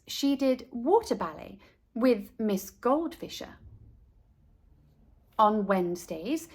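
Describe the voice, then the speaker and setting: Slow, animated woman reading to children. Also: on the high side. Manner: deliberate, emphatic, addressing young listeners directly. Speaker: read-aloud storyteller for children. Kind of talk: expressive picture book narration.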